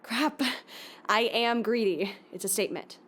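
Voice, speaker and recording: A female investigative journalist in an audio drama. Her voice is mid-pitched, and she speaks with earnest, deliberate determination, like a podcast host. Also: a bit bright. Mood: earnest, tentative.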